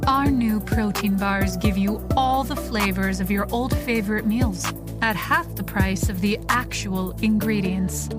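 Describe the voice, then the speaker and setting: A woman's voice: medium pitch, unhurried, loud music behind the voice, close to an everyday voice. A woman playing an upbeat, polished advertising announcer in a parody commercial for an audio play. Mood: soothing, selling. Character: upbeat, slick female advertising announcer selling disaster-era products.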